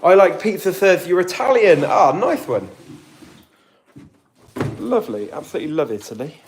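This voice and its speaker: A man's voice: sits high, very animated, normal speed. A British man chatting casually on a live stream while cleaning, reading out and answering viewer comments. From Britain. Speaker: vlogger. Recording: roomy home recording with faint noise.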